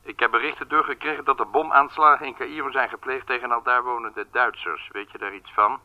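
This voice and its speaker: A man's voice: mid-range voice, fairly even intonation, fairly quick. Statesman, radio drama: stern, demanding leader, dull-sounding over a telephone line. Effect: telephone filter. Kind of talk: Dutch radio drama acting.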